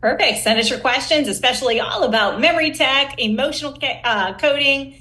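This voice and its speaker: Female podcast host, bright forward voice, emphatic and engaged interviewer, echoey room sound. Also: high-pitched, brisk.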